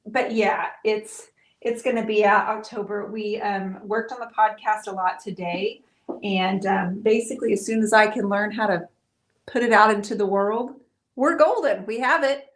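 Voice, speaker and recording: A middle-aged woman hosting a live painting stream, chatting casually and warmly with viewers, with frequent "um"s. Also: medium pitch, normal speed. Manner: chatty, friendly, talks to viewers with many fillers.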